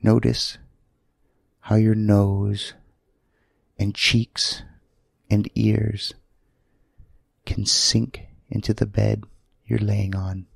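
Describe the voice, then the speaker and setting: A man leads a sleep meditation in a gravelly, soothing voice. He speaks very slowly, in short, gentle phrases separated by long pauses. The sound is close and dry. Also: lowish voice, level tone, no room sound. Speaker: guided meditation leader.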